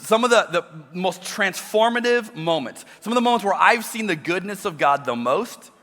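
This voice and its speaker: A middle-aged male pastor with a loud voice preaching a sermon, swinging from loud emphasis to quiet, intimate asides. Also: sits high. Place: large church auditorium. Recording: reverberant hall with faint music behind.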